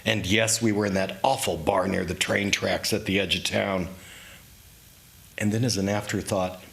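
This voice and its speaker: A man giving a dramatic literary reading of his own memoir, in deliberate, measured short phrases. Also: low voice, fairly even intonation, gravelly, a bit bright. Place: room in a historic house, live event. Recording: echoey room, live reading.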